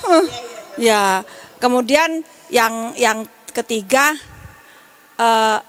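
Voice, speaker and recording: A woman's voice: a little high, drawn-out words. A woman from a congregation answering casually in front of the church, chatty and using fillers. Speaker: congregation member.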